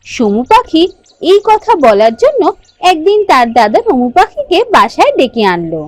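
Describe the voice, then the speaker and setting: Lively high-pitched woman storyteller. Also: normal speed, dry recording. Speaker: narrator. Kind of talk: scripted storytelling narration for a children's fairy tale cartoon.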